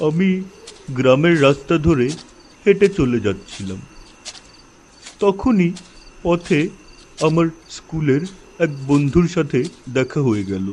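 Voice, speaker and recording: Man as slow-talking older village storyteller uncle. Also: a little high, very animated, a little mellow, slight background noise. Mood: ominous, nostalgic. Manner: measured, pausing, savouring his words.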